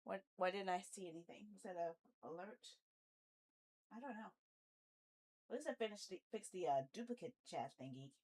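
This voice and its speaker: A woman's voice: mid-range voice, expressive, normal speed, long pauses, a little mellow, breathy edge. Rambling woman livestreamer chatting casually. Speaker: video game livestreamer, host.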